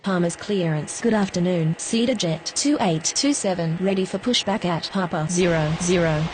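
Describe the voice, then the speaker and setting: Woman acting a lowish-voiced air traffic radio caller, noisy. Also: normal speed, lightly acted. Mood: businesslike, procedural. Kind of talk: scripted radio phraseology.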